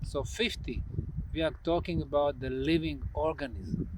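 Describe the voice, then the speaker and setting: A man presents on site as a researcher, explaining in an unscripted monologue with emphatic, deliberate phrasing and pauses. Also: on the high side, fairly even intonation. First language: Bosnian. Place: outdoors at an open monument site. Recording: outdoor ambient noise, urban or vehicle sounds.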